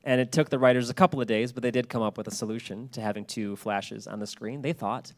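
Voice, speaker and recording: A man's voice: mid-range voice, fairly even intonation, quick pace. A man giving an informal public lecture in a chatty, colloquial storytelling way with asides. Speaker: presenter at a public talk.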